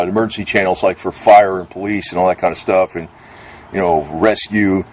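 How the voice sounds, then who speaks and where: Male vlogger, lowish voice, plainspoken and a bit grumbly, rambling through a casual hands-on gear demo. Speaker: vlogger demonstrating radio gear. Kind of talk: casual off-the-cuff product demonstration.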